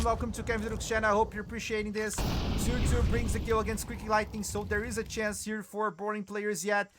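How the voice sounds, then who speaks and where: A man from Brazil with a Brazilian Portuguese accent giving excitable live commentary on a shooter match, scolding players and chatting with viewers. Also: high voice, background noise. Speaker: esports streamer commentator. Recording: loud gunfire game audio behind. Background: game gunfire and clicks.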